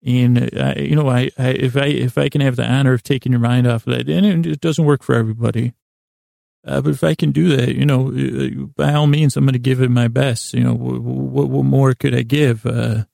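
A man hosting a bedtime podcast in a rambling, tangential monologue, with a creaky, gravelly voice and a halting, self-deprecating manner that often stammers and restarts words. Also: mid-range voice, steady tone, mellow, no echo, clean studio sound. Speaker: host of a bedtime sleep podcast.

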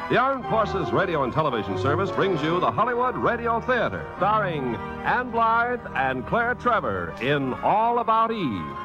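A man's voice: sits high, big pitch swings, moderate pace. A man announcing a show in formal 1950s radio style. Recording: muffled old radio recording. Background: music.

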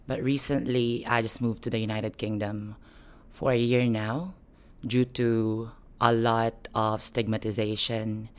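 A young male activist with a Filipino accent speaks earnestly and personally. His delivery is slow and deliberate, and his voice is smooth and clear. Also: on the low side. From Philippines. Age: young adult. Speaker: human rights activist.

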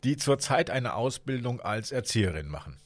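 A man's voice: medium pitch, very animated, normal speed, faint music behind. A man reporting from a job fair, asking questions in a casual, chatty way. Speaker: radio reporter. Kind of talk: on-site radio reportage. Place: job fair at a vocational school.

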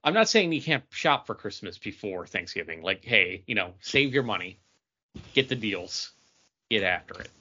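An American man talks in a bright, forceful voice through slightly muffled, lo-fi audio. He is a podcast co-host chatting casually. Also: on the low side, sing-song, moderate pace. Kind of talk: casual chat. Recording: dull lo-fi voice recording.

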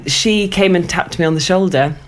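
Low-voiced chatty woman storyteller. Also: moderate pace, poor audio. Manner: chatty and rambling, laughs often, many fillers. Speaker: guest, writer and former lifeguard. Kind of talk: casual conversational storytelling.

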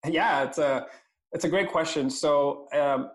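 A man from Venezuela, a company president answering interview questions earnestly, with frequent pauses and restarts. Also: a little high.

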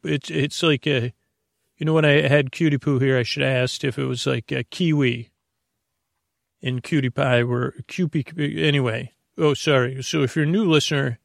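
Male bedtime podcast host, gravelly fry, close dry sound, halting and digressive. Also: mid-range voice, no room sound. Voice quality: creaky. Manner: meandering, halting, self-interrupting, goes off topic. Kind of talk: rambling bedtime podcast monologue.

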